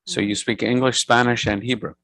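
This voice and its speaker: A man's voice: mid-range voice, steady tone, fairly quick, sharp tone, no echo, poor audio. A man in his sixties who leads services, chatting casually and telling rambling stories with frequent self-corrections. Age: 60s. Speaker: service leader. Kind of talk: casual chat. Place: synagogue service.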